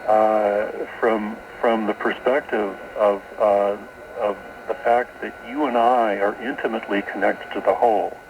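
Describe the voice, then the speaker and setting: A man's voice: fairly low pitch, fairly even intonation, a little slow, background noise. A man being interviewed as a guest author on a radio show, explaining esoteric ideas and often repeating words as he goes, taped from a shortwave broadcast. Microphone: radio broadcast, taped off air.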